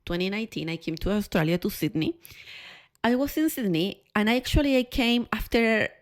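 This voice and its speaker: Chilean woman w spanish accent doing a personal podcast, mid pitch, really candid and expressive, repeats stuff for emphasis. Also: normal speed. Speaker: podcast host. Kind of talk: personal storytelling to camera.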